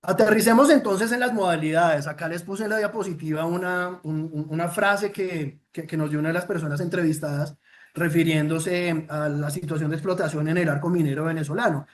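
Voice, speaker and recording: High-voiced Colombian man presenting a report. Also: fairly even intonation, fairly quick. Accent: Colombian Spanish. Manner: earnest, with frequent "eh" fillers and repeated restarts. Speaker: presenter of a child protection report. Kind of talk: formal presentation talk.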